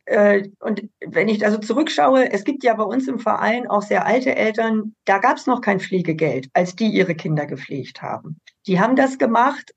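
A woman representing an association on a panel discussion, her voice with a gravelly creak. She explains earnestly and at length, adding detail after detail. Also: a little high.

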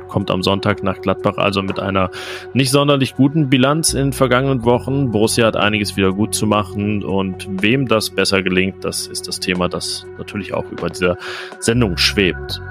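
Male podcast host, low gravelly voice, casual hesitant delivery, faint music underneath. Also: moderate pace. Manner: conversational, filler-heavy. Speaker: podcast host, sports reporter. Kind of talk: casual football podcast chat.

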